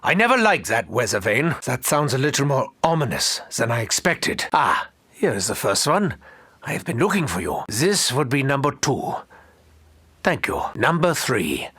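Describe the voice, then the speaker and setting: An actor playing an eccentric, haughty German mad scientist doctor in a video game, theatrical and grandiose, with a German accent. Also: male voice, mid-range voice, sing-song, normal speed, sharp tone, slightly rough, no room sound, heavily acted. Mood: brooding, resolute. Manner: theatrical, grandiose, self-important. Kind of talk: video game character quotes.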